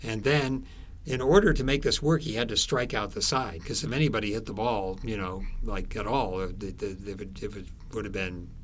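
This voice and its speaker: A man with a lowish voice speaks as an interviewed author. He explains and tells stories in a conversational way, feeling out his phrases with thoughtful pauses. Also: a bit bright, slight background noise.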